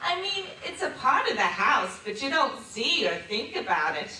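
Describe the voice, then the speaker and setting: A woman acting an animated, high-voiced old lady. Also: heavily acted. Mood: flustered, reluctant. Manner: hesitant, confiding, animated storytelling with dramatic pauses. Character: reticent, embarrassed old lady reminiscing about her youth. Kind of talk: theatrical stage monologue. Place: live stage performance in a hall. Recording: distant mic in echoey room, noisy.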